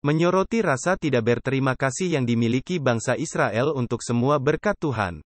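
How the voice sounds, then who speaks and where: A man's voice: medium pitch, expressive, a little fast, dry recording. Earnest male preacher, dry close sound. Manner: earnest, emphatic, with rhetorical questions to listeners. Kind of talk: dubbed sermon.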